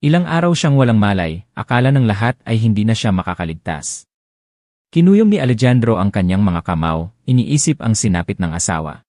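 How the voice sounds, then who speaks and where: A man's voice: on the low side, big pitch swings, a little fast, a little mellow, dry recording, studio quality. A man narrating a romance audiobook, reading dramatically and expressively. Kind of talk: scripted narration.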